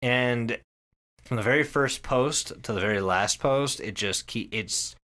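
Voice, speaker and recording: A man's voice: mid-range voice, a little slow, bright, forceful tone. A man co-hosting a podcast, explaining things casually and emphatically, with some swearing. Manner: emphatic, profane. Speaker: podcast co-host.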